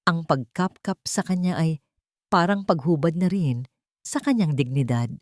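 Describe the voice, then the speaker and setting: A woman with a slightly low voice narrates a dramatic story. Her intonation moves widely and expressively, and the recording is dry and close, with no room sound. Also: animated, measured pace, darkish tone, dry recording.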